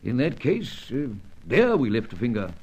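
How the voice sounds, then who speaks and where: An older gentleman spymaster in a radio serial. His pitch moves freely as he speaks in pompous, understated phrases with hesitant little ums. He is ruthless under a jovial, courteous surface. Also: huge pitch swings, moderate pace, slightly rough, heavily acted, low-quality recording. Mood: grave, coolly persuasive. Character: urbane older British spy chief, ruthless yet jovial. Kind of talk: old-time radio serial acting.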